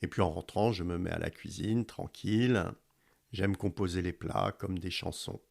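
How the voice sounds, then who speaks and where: An actor playing a laid-back, gentle father in an audio fiction monologue, speaking in a hesitant, dreamy way. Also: low-pitched, moderate pace, vocal fry. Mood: gentle, relaxed. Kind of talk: intimate self-portrait monologue in audio fiction.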